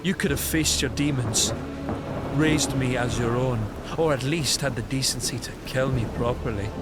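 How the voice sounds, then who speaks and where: A man playing a bitter, scornful young prince bent on vengeance, speaking in a cold, deliberate, mocking way in an audio drama. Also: medium pitch, loud music behind the voice, close to an everyday voice. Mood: accusing. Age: young adult.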